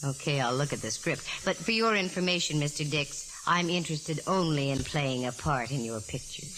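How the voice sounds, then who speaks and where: An actress playing a wry, self-assured, quick-witted female private eye in a 1940s radio drama. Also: low voice, lively intonation. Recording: vintage 1949 radio recording, hiss.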